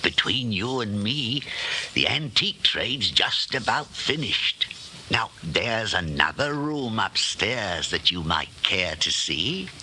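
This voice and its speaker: Bright-voiced, rambling elderly shopkeeper. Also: male voice, fairly low pitch, big pitch swings, slow pace, full character voice. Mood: chatty, deferential. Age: older. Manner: rambling, hesitant, deferential, reminiscing. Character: genial elderly widowed antique shopkeeper, wistful and chatty. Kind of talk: 1940s radio acting. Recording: old 1940s radio recording, faint noise.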